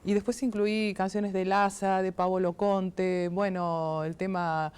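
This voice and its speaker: A middle-aged Uruguayan woman, a singer-songwriter, chatting in an interview, animated and often restarting as she searches for words. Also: medium pitch, takes it slowly, smooth. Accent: Uruguayan Spanish. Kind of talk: casual interview chat.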